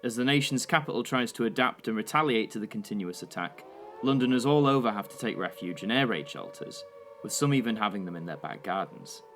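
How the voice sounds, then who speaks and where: A man's voice: on the low side, expressive, brisk, a bit bright, a little hiss or hum. A man reading scripted narration for a wartime audio drama.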